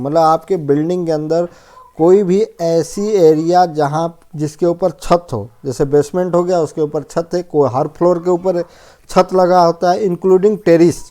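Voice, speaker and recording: Male instructor, slightly high pitch, soft-edged mellow tone, informal technical explaining, frequent rephrasing. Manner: explanatory, often rephrasing and defining terms. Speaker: fire safety instructor. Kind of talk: informal technical lesson, explaining numbered checklist points.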